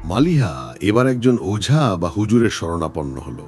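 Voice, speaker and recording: A man narrating a horror cartoon story and voicing an old healer's warning, animated and dramatic. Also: fairly low pitch, measured pace, faint music behind. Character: stern old male healer.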